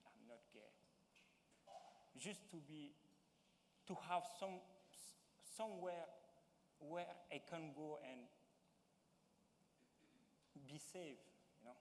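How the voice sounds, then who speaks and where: A young man from Mali with a Malian accent, giving halting personal testimony as a town hall panelist and searching for words in English. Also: on the high side, lively intonation, vocal fry. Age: young adult. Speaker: youth panelist. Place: large hall. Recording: reverberant hall with faint noise.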